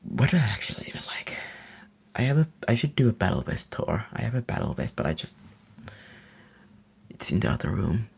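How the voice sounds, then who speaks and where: A man from Finland, a native Finnish speaker, rambling eagerly in a soft whisper as an ASMR live streamer. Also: on the low side, big pitch swings, fairly quick, vocal fry, long pauses, slightly rough.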